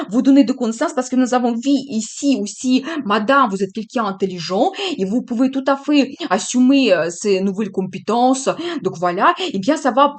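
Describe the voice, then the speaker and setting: Higher-pitched woman giving a halting tarot reading. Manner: hesitant, many fillers, encouraging. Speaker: tarot reader.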